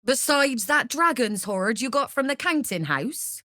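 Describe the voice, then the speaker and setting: A woman's voice: high voice, very animated, measured pace, sharp tone, no room sound, studio quality, exaggerated voice. A woman playing a shrewd, mercenary crime boss in a video game, wry and self-assured with cool menace. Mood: wry, businesslike. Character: shrewd, mercenary female Zhentarim smuggler boss, threatening and wry. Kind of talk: video game character voice lines.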